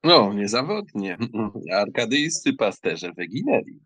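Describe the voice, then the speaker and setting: A reserved young count from an audiobook drama, played by a man. His low voice is slow and terse, with a dry curiosity and lively swings in tone. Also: breathy edge, no echo, exaggerated voice.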